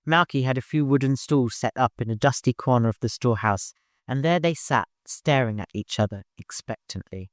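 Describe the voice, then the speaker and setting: A man's voice: medium pitch, brisk, no room sound, studio quality. A man reading a story aloud as scripted audiobook narration.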